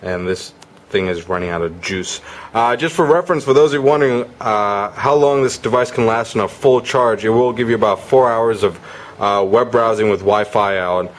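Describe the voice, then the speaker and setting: A man's voice: on the low side, moderate pace. A man giving a casual, conversational product demo as a gadget reviewer.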